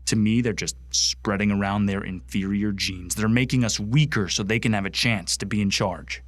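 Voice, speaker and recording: A man's voice: on the low side, steady tone, moderate pace, dry recording, lightly acted. A young man acting a bitter, bigoted Martian recruit in a radio drama, ranting with contempt. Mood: contemptuous. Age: young adult. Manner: hateful, contemptuous ranting monologue.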